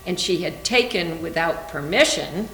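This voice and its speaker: A woman's voice: on the low side, lively intonation, measured pace, fairly bright tone. A woman reading scripted literary narration aloud for a readers theater. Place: indoor room, live library reading. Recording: echoey room with faint hum.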